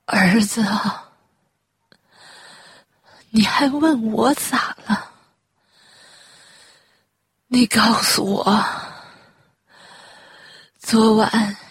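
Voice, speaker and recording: A woman's voice: on the high side, a bit bright, no room sound, full character voice. A woman playing an anxious, tearful, upright widowed mother in a Chinese radio drama, sighing and pleading. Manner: sighing, pleading, slow and breathy.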